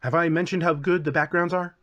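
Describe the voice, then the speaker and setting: A man's voice: a little high, steady tone, a little fast, fairly bright tone, muffled recording. A man hosting a casual scripted game review with playful, self-deprecating asides. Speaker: video game reviewer and channel host.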